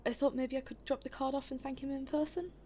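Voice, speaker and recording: Hesitant, higher-voiced woman acting an awkward visitor. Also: little pitch movement, fast talker, warm tone, slight background noise, natural voice. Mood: polite, uncertain. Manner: hesitant, rambling, slightly awkward. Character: hesitant, polite but sceptical young woman visitor named Lonnie. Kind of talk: audio drama acting, naturalistic.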